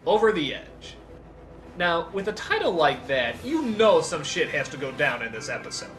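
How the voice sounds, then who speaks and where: Male reviewer, lively swinging pitch, emphatic comedic countdown narration, background music. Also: normal speed. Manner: animated, emphatic, exclamatory, profane. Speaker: video reviewer and countdown host.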